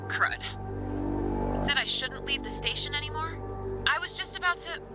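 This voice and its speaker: A woman playing an anxious, informal park ranger in a video game, talking haltingly and with self-doubt in a radio exchange. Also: high-pitched, over loud music, natural voice. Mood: nervous, unsure.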